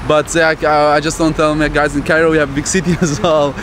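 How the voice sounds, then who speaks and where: A man's voice: a little high, little pitch movement, fairly quick, background noise. Man, easygoing. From Egypt. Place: city street outdoors.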